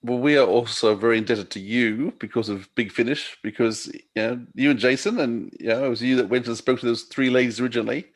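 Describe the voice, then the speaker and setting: A man's voice: mid-range voice, fairly even intonation, brisk, few pauses. A man interviewing a guest in casual, appreciative and jokey podcast chat.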